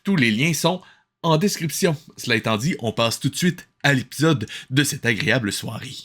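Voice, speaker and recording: Animated man hosting a watch-along. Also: medium pitch, moderate pace. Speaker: host of a watch-along show. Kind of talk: casual joking live commentary.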